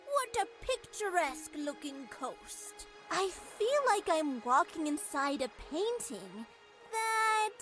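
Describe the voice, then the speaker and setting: Small animal sidekick: extremely high childlike voice, slow and wry, cartoon game with music underneath. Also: female voice, big pitch swings, fairly bright tone, exaggerated voice. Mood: wry, dreamy. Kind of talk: cartoon adventure game voice acting.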